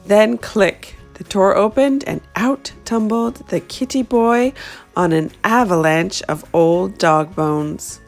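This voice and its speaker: A woman reading a children's picture book aloud in an animated, expressive way. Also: mid-range voice, takes it slowly, creaky, soft background music. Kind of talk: storybook read-aloud.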